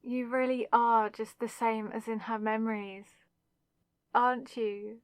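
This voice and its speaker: A woman playing a possessive, lovesick demonic villainess in a dramatic ASMR audio roleplay, speaking with intense, deliberate pauses. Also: a little high, level tone, no room sound, clean studio sound, close to an everyday voice. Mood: conflicted.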